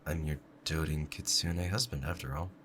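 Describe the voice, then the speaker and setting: A man playing a doting, obsessive kitsune husband in an intimate ASMR roleplay, speaking softly and clingily to the listener. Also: extremely low pitch, normal speed, slight background noise. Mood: tender, coaxing. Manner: soft, clingy, adoring, hesitant.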